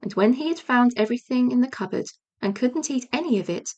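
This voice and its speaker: A woman's voice: on the high side, expressive, brisk, a little mellow, muffled recording. A woman reading a children's storybook aloud as audiobook narration. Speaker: audiobook reader.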